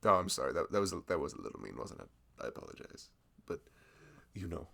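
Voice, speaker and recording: A man acts out a bashful young male florist in a casual audio roleplay. His voice is low and gravelly, yet it moves up and down with lively swings. He rambles sweetly and apologetically, flustered and putting himself down as he talks to the listener. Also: fast, full character voice. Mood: easygoing.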